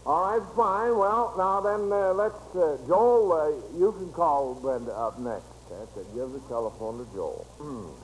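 A fairly high-voiced American man hosts a children's radio programme. He talks in a folksy, hesitant, coaxing manner, feeling his way from phrase to phrase. The old recording has a faint layer of noise. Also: a little slow, hardly any pauses, rough recording. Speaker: host of a children's radio show. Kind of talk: unscripted old-time radio hosting.

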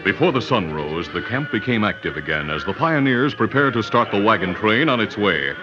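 A man with a lowish voice narrates a vintage radio western in scripted storytelling style. Music plays clearly behind him, and the old recording is dull. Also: animated, brisk.

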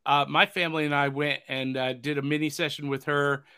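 Guy hosting a podcast, high bright voice, upbeat and jokey, dry close sound. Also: level tone, fairly quick, clean tone, no room sound. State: hoarse from overuse. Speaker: podcast host. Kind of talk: casual podcast chat.

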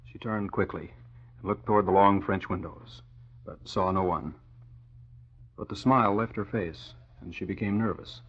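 Deep-voiced vintage radio narrator, male. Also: normal speed, fairly bright tone, slightly rough. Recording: muffled old radio recording.

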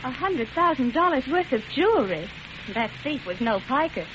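An actress playing a dutiful, polite and earnest nurse in 1940s radio acting style. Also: female voice, sits high, very animated, moderate pace. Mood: polite, curious. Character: dutiful female nurse, alert, polite, easily shocked. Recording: muffled, sizzling old radio broadcast noise.

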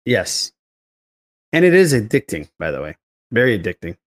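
A man's voice: fairly low pitch, big pitch swings, moderate pace. An enthusiastic man hosting a casual podcast chat, repeating himself for emphasis. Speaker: podcast host.

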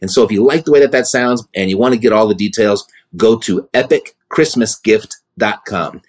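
A man's voice: fairly low pitch, normal speed. A man hosting a real estate podcast, promoting offers and interviewing a guest in an upbeat, friendly way. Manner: upbeat, friendly salesman delivery. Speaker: podcast host.

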